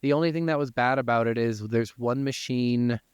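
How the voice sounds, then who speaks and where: Smooth-voiced man, slightly high, casual and sweary. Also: moderate pace, no echo, dull audio. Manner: informal, freely profane. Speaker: podcast co-host. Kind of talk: casual video game discussion.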